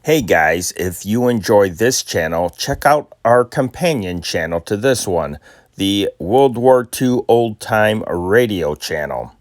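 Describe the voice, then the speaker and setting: A man's voice: fairly low pitch, no room sound, clean studio sound. A man reading old newspaper stories aloud, with occasional stumbles and restarts. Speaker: amateur news reader and channel host.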